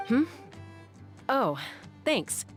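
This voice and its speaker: A woman's voice: fairly high pitch, sing-song, drawn-out words, exaggerated voice. A woman playing a cool, level-headed young peacemaker in a video game, composed and matter-of-fact. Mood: brisk, wry. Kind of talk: video game character dialogue. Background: faint game music.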